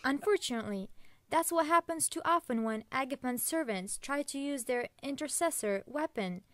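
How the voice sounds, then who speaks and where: A woman playing a steady, patient weapons expert with a Russian accent in an audio drama. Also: high voice, moderate pace, no room sound. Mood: instructive, calm. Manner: instructive, calm, firm.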